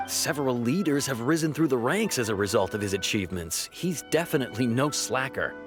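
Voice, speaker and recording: Actor as devoted rookie policeman for a game; mid-pitched, admiring, insistent, over light music. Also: expressive, a little fast, lightly acted. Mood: earnest, defensive. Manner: earnest, insistent. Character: loyal male junior police officer, admiring. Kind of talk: video game voice acting.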